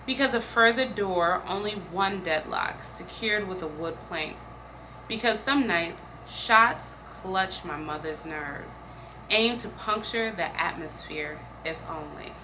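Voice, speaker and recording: A woman's voice: fairly low pitch, slow. A woman reading poems aloud as a poetry reader. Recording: clear background noise, room ambience.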